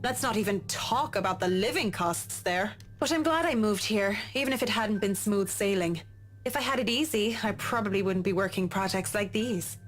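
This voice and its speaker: A woman voicing a professional young interior designer who speaks in a polite, measured way. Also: medium pitch, natural voice. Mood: earnest. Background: game music.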